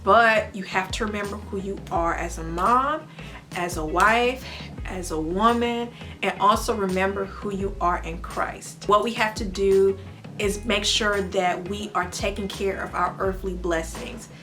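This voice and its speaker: A young woman from the United States talking casually to her viewers as a mother, earnest and faith-filled, with playful animated bursts. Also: medium pitch, measured pace, faint music behind. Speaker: vlogger and mom. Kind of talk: casual talk to camera.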